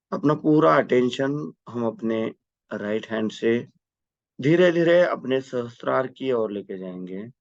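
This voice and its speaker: A man leading a guided meditation with prayer, giving step-by-step instructions in a measured, devotional manner. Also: mid-range voice, no room sound, lo-fi sound.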